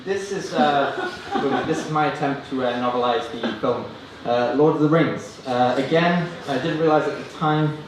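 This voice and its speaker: A man performing stand-up comedy, hesitant and self-deprecating, reading parody prose in a deadpan way. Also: mid-range voice, measured pace, few pauses, far from the mic. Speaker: stand-up comedian reading own mock novels. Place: student union comedy stand-up event, indoors. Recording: roomy, noisy live room recording from afar. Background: audience laughter, room noise.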